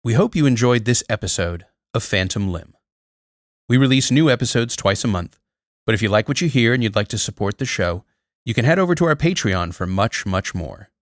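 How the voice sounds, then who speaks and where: A man's voice: fairly low pitch, animated, brisk, no echo, studio quality. A man reading a scripted promotional message as a podcast host.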